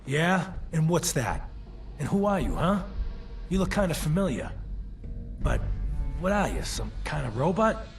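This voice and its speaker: A man's voice: on the high side, sing-song, unhurried, pauses often, far from the mic, faint music behind. An actor playing an arrogant old gangster turned ghoul in a video game, talking in a mocking wise-guy manner. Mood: mocking, suspicious. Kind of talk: old-time mobster game dialogue.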